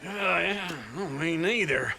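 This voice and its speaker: A man's voice: high voice, slow pace, creaky, sharp tone, soft background music, heavily acted. A man playing a lazy, whiny old drunkard in a game cutscene, rambling and stammering with theatrical cheek. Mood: cheeky, breezy. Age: older. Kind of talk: comic video game cutscene acting.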